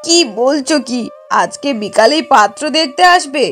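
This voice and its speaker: A high-pitched middle-aged mother from a moral-story cartoon, played by a woman. She is bossy and sharp-tongued, always scheming. She scolds and argues as if nobody else may have a say. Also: lively intonation, normal speed, faint music behind. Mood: bossy, indignant.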